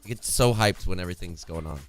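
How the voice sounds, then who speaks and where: A young man with a worn-out, hoarse voice casting an esports match on a home stream, chatty and reading chat aloud, close to a studio mic. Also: low voice, quick pace, faint music behind. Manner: chatty, reads chat aloud, laughs often. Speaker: esports caster. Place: home streaming room, indoors. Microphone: studio condenser mic close in front, headphones.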